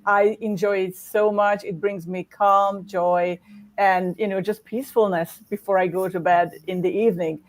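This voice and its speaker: A woman from Hungary, talking as a guest artist on a video call in a warm, chatty way with laughs between her words. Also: medium pitch, steady tone, normal speed, rough recording. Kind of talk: casual interview talk.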